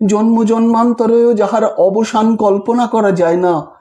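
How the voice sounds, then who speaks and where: A man narrating a literary story as an audiobook reader, with measured, dramatic delivery. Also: high voice, fairly even intonation, warm tone.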